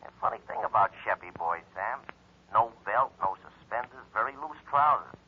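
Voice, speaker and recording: An actor playing a chatty, droll city morgue attendant in an old-time radio drama. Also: male voice, on the low side, animated, normal speed, slight background noise. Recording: phone-like old radio recording.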